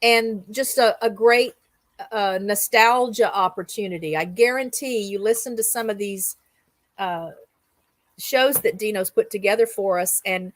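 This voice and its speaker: A woman's voice: mid-range voice, unhurried, no echo. A middle-aged or older woman hosting a casual livestream chat, talking in an enthusiastic, rambling storytelling way. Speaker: host of a livestream roundup show.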